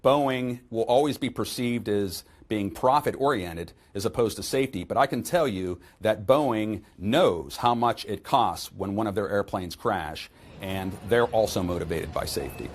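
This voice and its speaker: A fairly low-pitched man gives interview comment as an expert. He sounds measured and explanatory. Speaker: aviation expert, former accident investigations chief.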